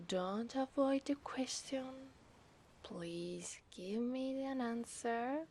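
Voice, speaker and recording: Obsessive, seductive mermaid for ASMR drama, played by a woman: Italian accent, higher pitch, very slow teasing delivery. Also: warm tone, slight background noise. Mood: coaxing. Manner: slow, teasing, lapsing into whispers. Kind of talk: ASMR roleplay voice acting.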